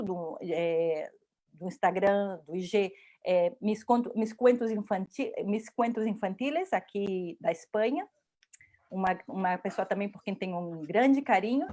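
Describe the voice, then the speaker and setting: Enthusiastic, effusive woman from Brazil, slightly high voice; interviewer on a video call. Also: darkish tone. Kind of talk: casual interview.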